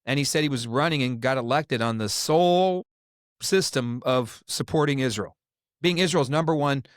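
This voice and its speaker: A man's voice: medium pitch, normal speed, dry recording. Opinionated, rambling male host, dry room. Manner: opinionated, rambling, many restarts. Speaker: podcast host. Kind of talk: casual political discussion.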